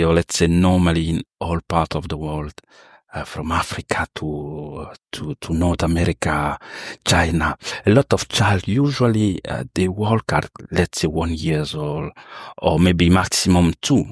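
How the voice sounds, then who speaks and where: A young man from Cameroon talks through his story with a very deep voice. He hesitates a lot, fills gaps with "uh" and restarts his phrases. The sound is close and dry. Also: breathy edge, no room sound. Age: young adult. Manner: hesitant, many fillers and restarts, non-native phrasing. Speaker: interview guest, sales professional. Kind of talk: casual storytelling interview.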